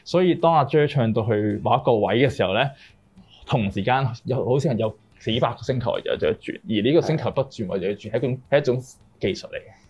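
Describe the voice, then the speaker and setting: A man's voice: mid-range voice, animated, fast talker, darkish tone, slightly rough, muffled recording. A man with a Hong Kong accent explaining his work in an interview as a director, mixing in English words. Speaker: music video director. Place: small indoor room, group interview, through a face mask.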